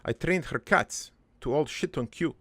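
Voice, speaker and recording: A man playing a crude but wistful old dead father in a cartoon, rambling through his life story in a halting, gravelly, improvised monologue. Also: a little high, sharp tone, dry recording. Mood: fond, sly. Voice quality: gravelly, creaky.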